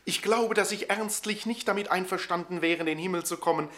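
A gentle, meek lover of animals in a radio drama. He speaks in a mild, soothing way, with simple earnestness. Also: high-pitched, brisk. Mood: earnest. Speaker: actor. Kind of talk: German radio play acting.

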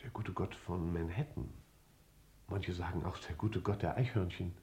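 A male actor playing a stern, weary middle-aged judge who questions a suspect in a formal, measured way in a German radio play. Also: low voice, dark tone, natural voice.

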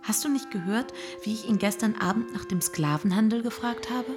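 An actress playing a shy, dutiful young gentlewoman in a radio drama, modest and a little hesitant. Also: medium pitch, a little fast, music in the background, close to an everyday voice. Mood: shyly defensive. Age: young adult.

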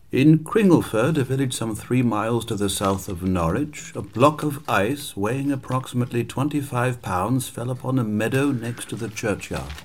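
An actor playing a measured, curious merchant in a radio play, with a husky voice. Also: male voice, medium pitch, a little mellow, a little hiss or hum, lightly acted. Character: English merchant Joseph Green, measured and curious.

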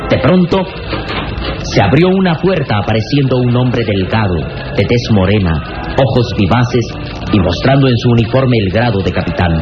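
A man's voice: mid-range voice, lively intonation, normal speed. A man narrating an old radio serial in a scripted, dramatic style. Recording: dull vintage radio recording with music.

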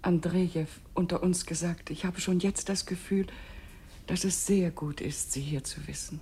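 An actress playing an anxious, devoted upper-class wife in a German radio play, speaking in a refined, confiding manner. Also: female voice, lowish voice, fairly even intonation, normal speed, dark tone, slight background noise, lightly acted.

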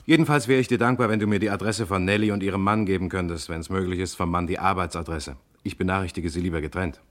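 An actor playing a calm, probing investigator in a German radio crime play, matter-of-fact and measured. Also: male voice, low-pitched, lightly acted.

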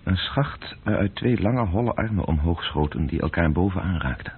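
A detached storyteller narrating a radio drama. The voice is deep and very husky, delivering terse, observant phrases. It sounds narrow and muffled, like an old broadcast down a phone line. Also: male voice, animated, normal speed, full character voice. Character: sober male storyteller, detached observer of city evening. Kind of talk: scripted radio play narration.

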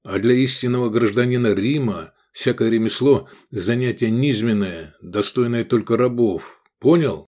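A man voicing a sensible, bossy gladiator in an audiobook, brisk and quick to correct his friends. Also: medium pitch, a little mellow, lightly acted. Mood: stern.

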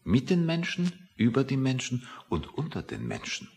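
A man's voice: medium pitch, wildly swooping pitch, moderate pace, darkish tone. An actor playing a grumpy, self-satisfied, pedantic man in a satirical radio play. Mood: pompous, musing. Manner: pedantic, lecturing, easily offended. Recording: reverberant hall-like room.

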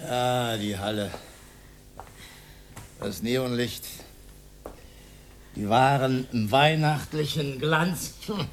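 Declamatory old Austrian idealist, slightly noisy recording. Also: male voice, medium pitch, creaky. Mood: musing. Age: older. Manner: rhetorical, declamatory, given to rambling reminiscence. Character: old idealistic socialist freethinker, pedantic, teetotal, rambling man. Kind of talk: radio drama acting, theatrical monologue.

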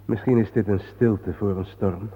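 An actor playing a grave, world-weary man guiding his friend through war cemeteries in a solemn, measured, sardonic radio-play manner. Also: on the low side, steady tone, breathy edge. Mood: somber. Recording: muffled phone-like old radio recording, reverberant.

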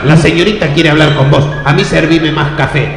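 A man plays an easygoing Argentine local narrating his absurd romance in a comic radio play. The voice is fairly high and gravelly, halting and wonderstruck, in a very echoey space. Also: fairly even intonation. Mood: casual, encouraging. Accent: Argentine, Rioplatense. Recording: echoey reverb, loud music behind.

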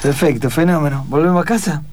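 A man's voice: a little high, quick pace, a crowd in the background, lightly acted. A man voicing a scatterbrained, easygoing young hippie with a Buenos Aires accent, chatty and exclamatory in improvised radio comedy. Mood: casual, dismissive. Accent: Argentine Rioplatense. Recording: guitar music under the voice. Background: clear guitar music.

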